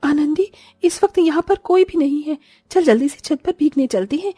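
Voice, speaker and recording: Woman playing an earnest, high-voiced young daughter-in-law. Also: normal speed, dark tone, no echo, close to an everyday voice. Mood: eager, wistful. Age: young adult. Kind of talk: Hindi cartoon morality story acting.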